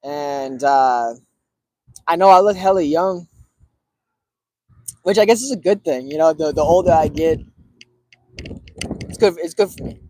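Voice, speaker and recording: A man in his early thirties talking casually to viewers, relaxed and rambling with lots of fillers. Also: on the high side, unhurried, long pauses, no room sound, rough recording. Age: 30s. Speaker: cigar streamer.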